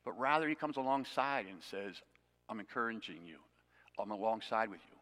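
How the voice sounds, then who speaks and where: A man's voice: on the low side, normal speed, fairly bright tone. Man preaching in a reverberant church hall. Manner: conversational, self-deprecating asides. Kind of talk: expository sermon.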